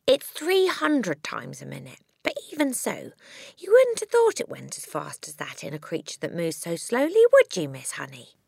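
A woman voicing a bright, eager, outspoken little girl in a storybook reading. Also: fairly low pitch, wildly swooping pitch, moderate pace, vocal fry, husky, no echo, clean studio sound, heavily acted.

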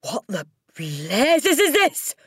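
A woman voicing a huge, domineering headmistress in a children's storybook, bellowing her words in drawn-out, commanding shouts. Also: on the high side, lively intonation, no echo, studio quality, voice pushed up, exaggerated voice. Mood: furious.

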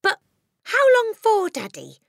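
Eager, high-pitched little girl, Matilda. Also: huge pitch swings, normal speed, gravelly, husky, no room sound, studio quality, heavily acted. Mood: distressed, pleading.

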